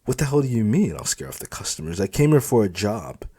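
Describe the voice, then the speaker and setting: A man's voice: fairly low pitch, big pitch swings, fast talker, mellow, husky, no room sound, pitched above the performer's own voice. A man voicing a defiant young female job applicant in an audiobook, indignant, blunt and challenging. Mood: shocked, indignant. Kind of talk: dramatised audiobook dialogue.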